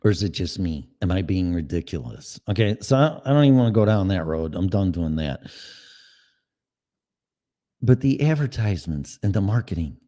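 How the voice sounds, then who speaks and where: A man's voice: low-pitched, animated, moderate pace, warm tone. A man with a Chicago accent hosting a solo podcast, ranting in a blunt, sarcastic and profane way. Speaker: podcast host.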